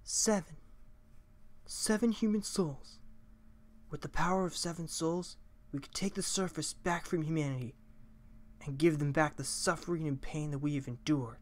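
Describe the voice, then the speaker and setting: A man's voice: a little high, normal speed, natural voice. A man voicing a fierce, proud female fish warrior from a video game, with a dramatic, menacing delivery. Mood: menacing, solemn. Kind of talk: character voice acting of video game dialogue.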